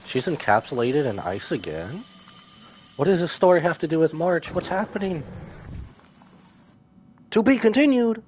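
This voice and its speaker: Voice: medium pitch, big pitch swings, moderate pace, long pauses, a little mellow, slight background noise. A game streamer reacting live to a story, commenting casually and breaking into dramatic exclamations. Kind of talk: live gameplay reaction and commentary, reading some dialogue aloud. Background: video game music.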